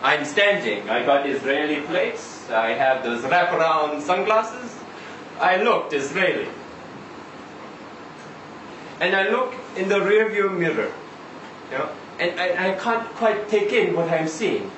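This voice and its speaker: A man reading a short story aloud as a chatty, insistent Israeli taxi driver, halting and conversational, full of rhetorical questions and tags. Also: on the high side, expressive. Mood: tense recounting. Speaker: reader at a live literary event. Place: indoor live reading event. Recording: roomy live venue, distant mic, faint noise.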